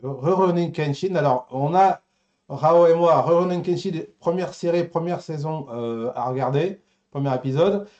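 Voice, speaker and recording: A man's voice: a little high, normal speed, a little mellow, highs cut off. A man co-hosting a casual live talk show, joking and teasing with wordplay.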